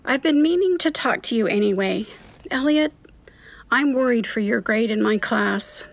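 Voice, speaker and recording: An actress playing a firm but kindly schoolteacher, measured and coaxing, in a family audio drama. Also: female voice, on the high side, animated, vocal fry, soft background music. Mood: concerned.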